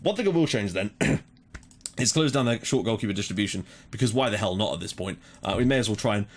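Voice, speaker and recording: A man's voice: lowish voice, quick pace. A man casually commentating on his football management game. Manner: chatty, excitable during goals. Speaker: let's play host.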